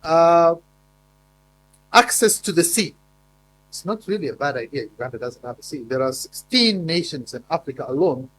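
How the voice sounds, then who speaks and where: A man's voice: fairly high pitch, animated, moderate pace, fairly bright tone, a little hiss or hum, poor audio. Emphatic man giving a conference talk. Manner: emphatic, with frequent fillers and repeated words. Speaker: conference speaker. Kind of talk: formal conference presentation. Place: conference hall.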